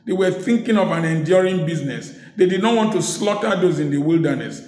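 A man's voice: on the high side, a little fast. Emphatic middle-aged man preaching, echoey room. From Africa. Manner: emphatic, repeats key words, mixes teaching with sharp humor. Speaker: preacher and speaker. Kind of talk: preaching talk to camera. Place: indoor room at home, seated in office chair.

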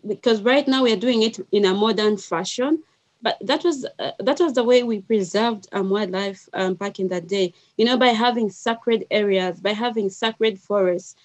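A woman's voice: mid-range voice, normal speed. A woman in her thirties with a Ugandan accent, speaking as a panelist on a video call, choosing words hesitantly and thoughtfully. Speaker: guest panelist, wildlife researcher. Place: small indoor room. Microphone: headset on a video call.

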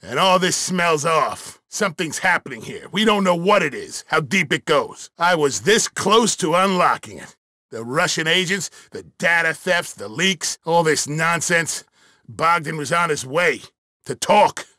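A world-weary veteran male spy from a video game, indignant and suspicious. His voice is fairly high and very forceful, gruff in manner, recorded dry. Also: animated, a little slow, dry recording.

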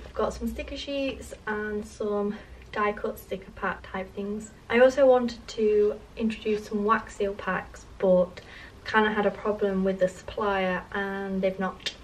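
A woman's voice: mid-range voice, slight background noise, rough recording. A young woman in her twenties chatting casually to camera about her small shop while crafting in her home studio. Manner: chatty, relaxed, trails off with fillers. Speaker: vlogger and small shop owner. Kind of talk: casual vlog talk to camera.

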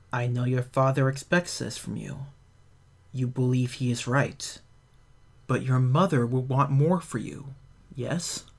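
A man voicing a slow, solemn cosmic being. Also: medium pitch. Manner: slow, solemn, cryptic pronouncements. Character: ancient all-seeing cosmic being, male. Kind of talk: fan audio drama voice acting.

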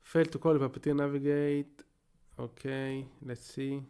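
An Israeli man in his thirties, a native Hebrew speaker, with a gravelly, mid-pitched voice. He talks casually through a tech tutorial from a home office desk, thinking aloud as he goes. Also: flat delivery, normal speed, warm tone. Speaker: tech YouTuber. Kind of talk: screen-share tutorial.

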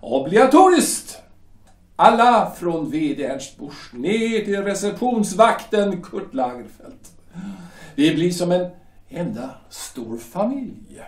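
A man's voice: sits high, sing-song, reverberant, far from the mic. An actor playing a pompous male shipyard director who speaks in hesitant, insinuating phrases. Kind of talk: audio drama acting.

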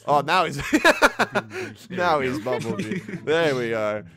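A young man giving loud, laughing, slang-heavy commentary while exploring a social VR game, swearing often. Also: a little high, wildly swooping pitch, slow, crowd noise. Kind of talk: casual gaming commentary.

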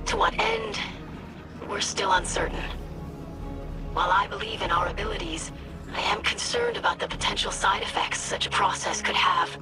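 A woman voicing an earnest, dutiful young female alien scholar in a video game, with formal, precise diction and a breathy voice. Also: mid-range voice, animated, normal speed, lightly acted, poor audio. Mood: thoughtful, cautious. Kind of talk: scripted video game dialogue. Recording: music under the voice.

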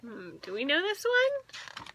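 A woman in her thirties reading a storybook aloud to children as a teacher, expressive and asking the listeners questions. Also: extremely high pitch, takes it slowly, slight background noise. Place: small indoor room with bookshelves.

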